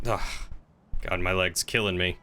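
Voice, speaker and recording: Bright-voiced man in casual game roleplay. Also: sits low, fairly even intonation, a little slow, a little hiss or hum. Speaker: streamer playing a crime roleplay character.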